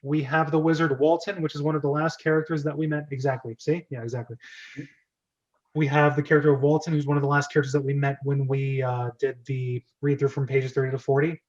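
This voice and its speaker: A man's voice: mid-range voice, flat delivery, fast, a little mellow. A man casually hosting a script read-through and organising the readers, on a slightly dull recording. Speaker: host of script reading.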